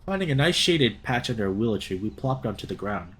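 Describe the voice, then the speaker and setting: A young man reads a visual novel aloud as a let's play host. His delivery is expressive and theatrical, and his pitch moves around a lot as he shifts between narration and his own reactions. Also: fast talker, slight background noise. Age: young adult.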